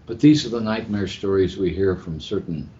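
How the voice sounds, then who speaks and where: A man hosting a podcast interview, conversational, rephrasing his questions as he goes in a halting way. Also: deep voice, warm tone. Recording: muffled, noisy live hotel internet-call recording.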